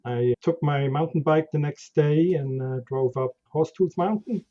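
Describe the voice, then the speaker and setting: A man's voice: a little high, moderate pace, mellow, muffled recording. A man from Switzerland with a Swiss German accent, a guest chatting casually about his background in an interview. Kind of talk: conversational interview.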